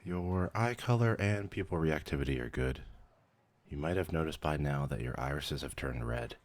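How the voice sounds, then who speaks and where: A man playing a brisk, weary vampire mentor in a scripted ASMR roleplay, measured, clinical and dry in manner. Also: extremely low pitch, expressive. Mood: clinical, professional. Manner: measured, clinical, dryly matter-of-fact, hesitant when surprised. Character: male vampire mentor, brisk weary clerk-medic, professional turning casual.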